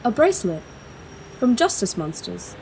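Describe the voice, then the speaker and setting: Young royal woman, radio-play heroine voiced by an actress, mellow tone, earnest and self-doubting. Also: mid-range voice, very animated, moderate pace, vocal fry, slight background noise, low-quality recording. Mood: quietly touched. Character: young princess, formal, grieving, self-doubting. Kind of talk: audio drama acting.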